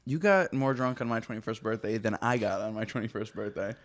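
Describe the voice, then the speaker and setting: A man's voice: on the low side, brisk. A young man co-hosting a podcast, chiming in with dry, teasing remarks. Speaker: podcast co-host. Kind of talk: casual chat.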